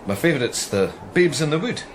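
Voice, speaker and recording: Wry, guarded Scottish man, hesitant and understated yet varied in pitch, radio play psychic. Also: very animated, brisk, noisy background. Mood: amused, curious. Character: wry, guarded psychic detective, grown son. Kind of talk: naturalistic radio drama acting.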